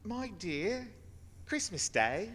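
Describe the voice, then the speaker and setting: Man playing a gentle, high-voiced family father. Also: very animated, takes it slowly, full character voice. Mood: gently chiding. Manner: fond, mild, earnest. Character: gentle, tender, forgiving poor family father. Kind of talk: stage-like radio play acting. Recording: echoey reverberant hall.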